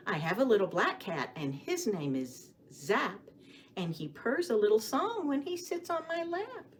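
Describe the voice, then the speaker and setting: A woman reading stories and rhymes aloud for young children as a storytime host, with a sing-song, expressive delivery, often putting questions to the children. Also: a little high. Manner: addresses children directly with questions and pauses for answers. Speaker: children's librarian hosting storytime. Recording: clearly audible background noise.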